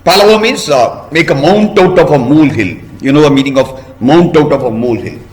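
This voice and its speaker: A man telling a story to children in a teacherly way, pausing to ask questions and explain words. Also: on the high side, animated, darkish tone, reverberant. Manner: didactic, asks listeners questions and explains words.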